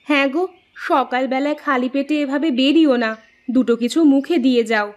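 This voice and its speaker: A woman's voice: high voice, fairly quick, highs cut off, natural voice. A woman playing a caring, worrying village wife in a cartoon. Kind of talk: scripted cartoon dialogue.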